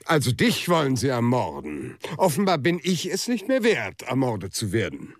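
Cynical aristocratic business partner, radio drama. Pointed, indifferent words, widely varied intonation, dry sound. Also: male voice, medium pitch, huge pitch swings, normal speed, dry recording. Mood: cold sarcasm. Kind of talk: German radio drama acting.

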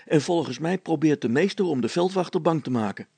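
Man playing an earnest, higher-pitched storybook helper. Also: animated, a little fast, creaky, darkish tone, slightly rough, no echo, heavily acted. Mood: breathless, urgent.

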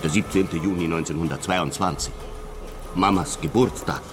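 A man's voice: deep voice, normal speed, over music, lightly acted. An actor playing a scornful showman in a radio drama, mocking and emphatic as he debunks trickery. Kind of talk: German radio drama acting.

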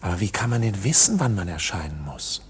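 An actor playing a polite, bewildered accused man in a German radio play, questioning in a formal manner with a husky voice. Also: low voice, very animated, a little fast, a little hiss or hum. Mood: puzzled, indignant.

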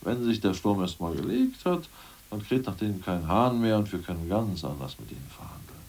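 A man playing a crude, scheming ousted party functionary in a radio play, boastful and plotting, muttering one moment and loud the next. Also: low-pitched, sing-song, a little fast, slightly rough, distant mic, exaggerated voice. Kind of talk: 1980s radio play acting.

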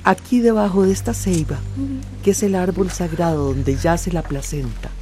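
Village elder played by a woman: deep female voice, ceremonial, soothing radio-drama delivery, music underneath. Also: lively intonation, normal speed, lightly acted. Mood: solemn, reverent. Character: wise, consoling old village spiritual woman.

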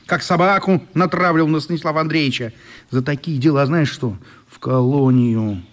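An actor playing an officious, irritated children's camp director in a radio play, bossy, stern and lecturing. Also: male voice, a little high, normal speed, close to an everyday voice. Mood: indignant, threatening. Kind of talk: radio drama acting.

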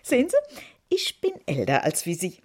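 An actress playing a lively older woman, teasing her friend over drinks. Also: mid-range voice, moderate pace, slightly rough. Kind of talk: radio comedy sketch.

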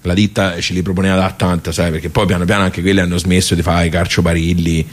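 A man's voice: sits low, little pitch movement, quick pace, lightly acted. A man acting a radio monologue as a wry, rueful, talkative social club regular, with a Tuscan accent and a rambling, colloquial way of asking and answering himself. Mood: rueful, indignant. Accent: Tuscan Italian.

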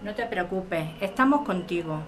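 A woman's voice: on the low side, expressive, normal speed, a little hiss or hum, natural voice. A woman playing a practical supporter in a radio drama, reassuring and explaining things in a soothing manner.

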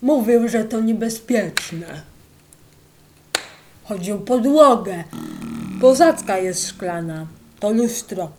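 A woman acting an elderly, subservient housekeeper in an audio drama, halting and deferential, with a gossipy streak. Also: mid-range voice, lively intonation, a little mellow, slight background noise. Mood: confiding. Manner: halting, deferential, repeats herself.

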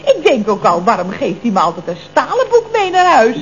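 An actress playing a chatty, exclamatory Dutch office secretary in the style of 1970s radio comedy. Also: female voice, a little high, huge pitch swings, brisk. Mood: gushing, gossipy. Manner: gossipy, exclamatory. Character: chatty, gushing office secretary, drily puzzled by her boss. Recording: dull, noisy old radio recording with hum.

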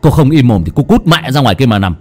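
A man voicing an irritable husband who scolds loudly. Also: very deep voice, brisk, breathy edge, faint music behind, pitched below the performer's own voice. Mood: irritated scolding. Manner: snappish, scolding, loud.

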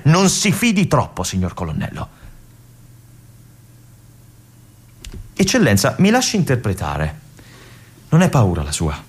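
A restless young lieutenant at a lonely fortress. His voice is husky and sits at a medium pitch. He speaks in an intense, halting dramatic monologue, shifting between hushed murmurs and urgent insistence. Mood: pleading, intense. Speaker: stage actor performing a monologue.

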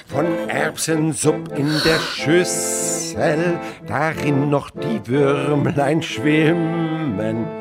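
Cranky, eccentric old father. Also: a little high. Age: older. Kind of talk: German radio drama acting.

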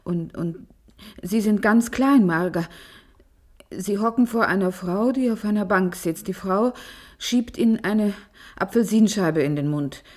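Radio play: commanding female idealist acted by a woman, mid register, intense, urgent, prone to halting bewilderment. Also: medium pitch, a little mellow, lightly acted. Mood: bewildered, agitated. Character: commanding, visionary woman leading a thought-transfer experiment, growing bewildered. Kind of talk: German radio play acting.

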